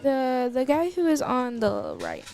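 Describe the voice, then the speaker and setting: A young female commentator in her teens with an American accent and a high voice. She talks informally and chattily, drifting off on asides as she covers live sport. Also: huge pitch swings, measured pace, creaky, a little mellow. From Texas, United States. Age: teenager. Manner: chatty, informal, easily sidetracked. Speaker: youth broadcast commentator.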